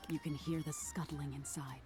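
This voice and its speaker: A woman voicing an eerie nightmare spirit in a video game, telling a soft, ominous tale in a hushed, whispery voice. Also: low-pitched, level tone, over music, lightly acted. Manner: slow, soothing, ominous storytelling. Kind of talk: game character narration.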